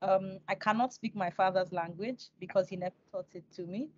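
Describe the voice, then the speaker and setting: A woman with a Nigerian accent interviews over a video call with a dry, slightly dull sound. Her pitch is average and her delivery is conversational, respectful and personal. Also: a little fast, no room sound. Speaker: interview host.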